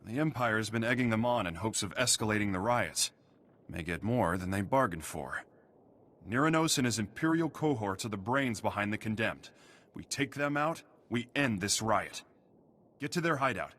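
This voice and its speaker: An actor playing a gruff, duty-bound prison station officer in a video game, giving brisk military briefings. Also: male voice, on the low side, a bit bright. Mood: stern, urgent.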